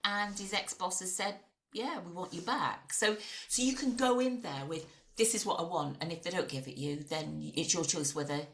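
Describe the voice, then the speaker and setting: A woman in her late sixties, a researcher and author, talking casually in a podcast conversation, chatty and animated, often restarting her phrases. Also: lowish voice, normal speed, slight background noise. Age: 60s. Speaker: guest, ageing researcher and author.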